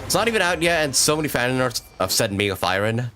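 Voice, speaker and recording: A man's voice: medium pitch, sing-song, quick pace. A man chatting casually while livestreaming a video game, hesitant and rambling as he thinks aloud. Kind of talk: casual gaming livestream chat. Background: video game music.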